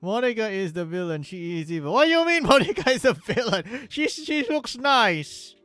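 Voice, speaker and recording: Animated, bright-voiced joking game streamer. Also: mid-range voice, moderate pace, dry recording. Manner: joking, profane. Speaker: live streamer. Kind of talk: casual game stream commentary. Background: game music.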